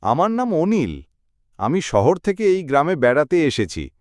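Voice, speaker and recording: A man's voice: a little high, wildly swooping pitch, a little slow, darkish tone, no room sound, studio quality. Man voicing a curious young explorer.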